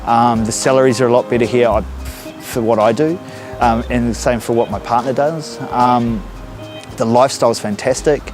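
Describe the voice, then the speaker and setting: A man's voice: mid-range voice, fairly even intonation, normal speed, over music. A man in his forties with a New Zealand accent, talking casually in a documentary interview outdoors in a park.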